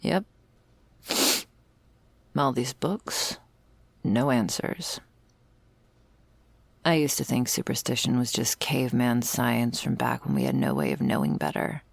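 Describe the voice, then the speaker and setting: A woman playing a jaded, sardonic vampire's servant in an intimate ASMR roleplay, talking in a wry, world-weary, gravelly way. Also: very deep voice, animated, takes it slowly, pauses often, no room sound, full character voice. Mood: dry, musing. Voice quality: very creaky, gravelly. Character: jaded, sardonic female vampire's servant explaining undead lore.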